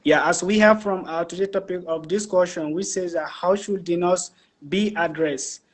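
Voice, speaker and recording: A man's voice: sits high, fairly even intonation, moderate pace. A man hosting a live online discussion, speaking deferentially to his guest with brief affirmations. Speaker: host.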